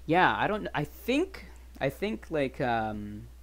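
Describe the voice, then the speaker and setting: Animated, casual male livestreamer. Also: mid-range voice, takes it slowly. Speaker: streamer reading aloud. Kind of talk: casual livestream commentary and story reading. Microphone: webcam stream setup.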